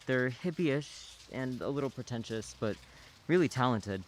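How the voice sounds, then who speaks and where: A young man voices a relaxed teenage boy who talks in a friendly, casual way and uses slang. His voice is middle-pitched, and faint rain runs under it. This is a radio play. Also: normal speed, a little hiss or hum, lightly acted, low-quality recording. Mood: easygoing. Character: easygoing skinny teenage boy neighbor.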